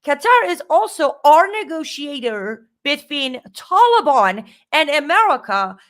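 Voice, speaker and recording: A woman with a Middle Eastern accent co-hosting a live stream, talking in a lively, emphatic way. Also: high-pitched, unhurried. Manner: animated, talkative, emphatic. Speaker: co-host. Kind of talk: casual live-stream commentary.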